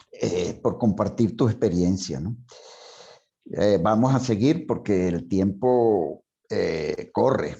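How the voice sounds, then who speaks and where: Deep-voiced older man from Spain. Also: creaky, warm tone. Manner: measured, partly read aloud, with fillers. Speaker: speaker at a psychoanalysis talk. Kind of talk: reading a prepared personal account. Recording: dull lo-fi sound.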